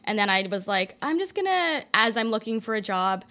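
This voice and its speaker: A young woman with a Canadian accent chats as a podcast guest, her voice sitting at an everyday middle pitch. She runs through her story quickly and casually, with plenty of likes and ums along the way. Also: very animated, sharp tone. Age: young adult. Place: indoor studio in a building.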